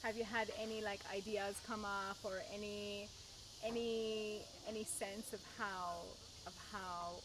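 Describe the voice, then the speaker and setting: A woman's voice: mid-range voice, flat delivery, unhurried, noisy background. A woman interviewer off camera, asking a rambling, hesitant follow-up question, heard away from the lapel mic. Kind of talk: casual interview.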